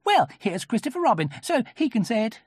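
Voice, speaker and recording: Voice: mid-range voice, very animated, fairly quick, darkish tone, breathy edge, dry recording, studio quality, pitched above the performer's own voice. A reader voicing a bossy, fussy male rabbit in a children's storybook, officious and solemn. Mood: important, stern. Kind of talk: children's storybook character reading.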